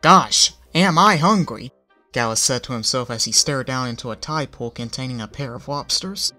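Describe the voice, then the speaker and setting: A man reading a fan story aloud as its narrator, with an expressive delivery. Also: medium pitch, fairly quick. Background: faint background music.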